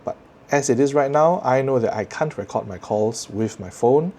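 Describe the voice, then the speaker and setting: A man with a Singaporean accent presenting a scripted tech product review to viewers in a measured, articulate way. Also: mid-range voice, warm tone.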